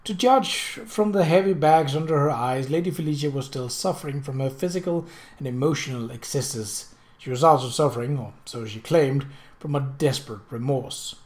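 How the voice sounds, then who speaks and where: A storyteller reads a period mystery novel in a formal, expressive way. The voice sits at a middle pitch, between low and high. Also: moderate pace. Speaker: audiobook reader.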